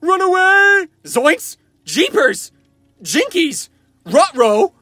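A man's voice: extremely high pitch, big pitch swings, extremely slow, pushed and bright, no room sound, clean studio sound, soft background music, pitched above the performer's own voice, full character voice. A man voicing a cocky, flirty, goofy wisecracking survivor for fan-made video game lines, in a playful, theatrical, quippy manner. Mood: excited, alarmed. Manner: playful, theatrical, quippy, often sing-song.

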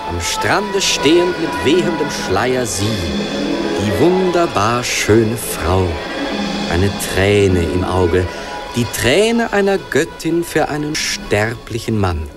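Gushing flatterer, swooping pitch. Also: male voice, loud music behind the voice. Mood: dreamy recital. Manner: effusive, halting, theatrically charming. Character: gushing, flattering, brash showman courting a nymph. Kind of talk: German radio play acting.

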